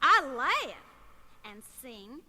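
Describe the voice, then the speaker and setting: A woman's voice: high-pitched, sing-song, extremely slow, heavily acted. A woman voicing a sweet, fussy female puppet on a children's record, with exclaiming, dithering delivery. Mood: merry singing.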